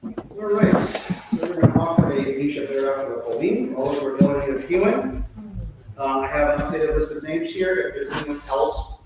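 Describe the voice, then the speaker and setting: A man's voice: a little high, brisk. A man leading a synagogue service, speaking informally and conversationally, often repeating and rephrasing as they go. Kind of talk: informal sermon and prayer leading at a live service. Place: large reverberant synagogue room during a service. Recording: very echoey hall, distant mic, faint noise.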